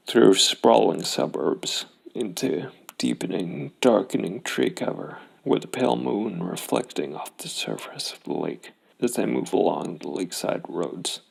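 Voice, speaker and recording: A man's voice: deep voice, gravelly, husky, poor audio. A man narrating a solo tabletop role-playing game, thinking aloud and reasoning things out. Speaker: solo RPG player and host.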